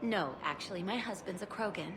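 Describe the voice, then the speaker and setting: A woman's voice: medium pitch, a little fast, noisy background, lightly acted. A woman playing a polite, composed female shop clerk and proud military wife in a video game. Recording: loud background music.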